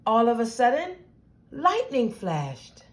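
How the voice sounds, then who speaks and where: Slow, high-voiced woman storyteller. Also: huge pitch swings. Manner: deliberate, dramatic phrasing for young listeners. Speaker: children's storyteller, host. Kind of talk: expressive read-aloud of a picture book.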